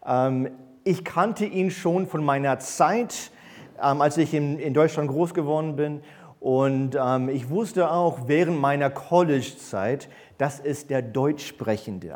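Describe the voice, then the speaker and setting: Mid-thirties male church elder preaching, mid-pitched, halting delivery full of ums. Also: darkish tone. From grew up in East Berlin, born in the USA. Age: 30s. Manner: hesitant, many fillers and self-corrections. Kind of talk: sermon with personal introduction. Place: church service.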